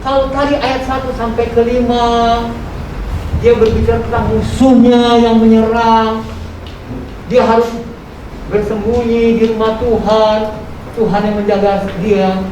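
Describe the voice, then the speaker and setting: A woman's voice: a little high, little pitch movement, unhurried, mellow. Echoey, distant older woman preaching. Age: 70s. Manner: exhortatory, with rhetorical questions and repeated phrases. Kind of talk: sermon to a congregation. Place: large echoey room at a live church service. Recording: very echoey, distant, noisy live church recording.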